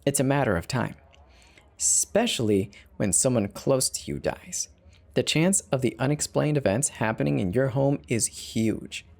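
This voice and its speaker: A man's voice: medium pitch, animated, moderate pace, creaky, a little mellow. A man narrating a horror story as a dramatic scripted reading. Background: background music.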